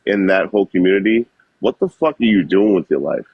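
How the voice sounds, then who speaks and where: A man's voice: deep voice, animated, brisk, narrow, tinny audio, over a noisy crowd. A man on a live stream, ranting angrily with lots of swearing. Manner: profane, heated ranting.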